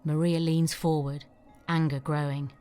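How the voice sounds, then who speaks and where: A woman narrator reading the scene directions of an audio drama in an even, measured way. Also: low voice, soft background music.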